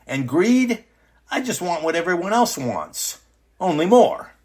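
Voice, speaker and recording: A man playing a boastful, petulant self-crowned ruler in a satirical radio drama, pompous, dismissive and quick to interrupt. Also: sits high, sing-song, darkish tone, full character voice. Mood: smug.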